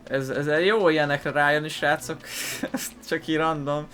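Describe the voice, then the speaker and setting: A man's voice: a little high, fairly even intonation, moderate pace, fairly bright tone, soft background music. A man giving casual gameplay commentary as a gaming video host.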